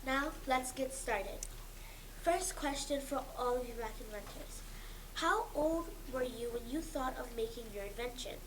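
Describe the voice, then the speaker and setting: A woman's voice: high-pitched, unhurried. A female talk show host reading introductions and questions to a panel at a live event. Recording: live event with clear background noise.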